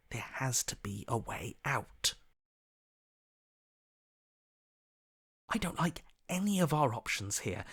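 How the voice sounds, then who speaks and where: A man's voice: fairly high pitch, fairly bright tone, slightly rough. A man voicing a wry, uneasy heroic companion, an inner voice in a video game. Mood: uneasy, searching.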